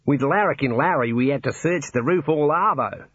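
A male narrator doing chatty aussie jailer, husky, upbeat, muffled audio. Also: a little high, normal speed, a bit bright, no room sound, voice pushed up. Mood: jovial. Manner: breezy, matter-of-fact about grim things. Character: cheerful older male prison warder, jovial, chatty.

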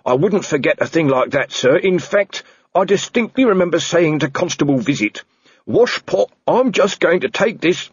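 A man's voice: a little high, lively intonation, normal speed, breathy edge, dull audio, voice pushed up. A man voicing an earnest, dogged young male constable who stays politely insistent with his superior. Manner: politely insistent, ends lines with sir.

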